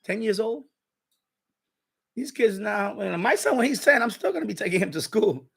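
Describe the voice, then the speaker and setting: A middle-aged man talks casually to his livestream viewers in a fairly high voice with a creaky edge. He rambles along, circling back and repeating phrases as he goes. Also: big pitch swings, normal speed. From Puerto Rico. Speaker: live streamer, radio hobbyist.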